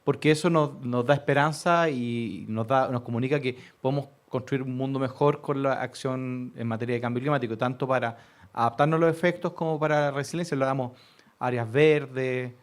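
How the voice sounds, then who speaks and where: Male official, mid-pitch, calm and hopeful, policy talk. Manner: optimistic, measured, some restarts. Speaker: government official on a panel. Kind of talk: radio panel discussion.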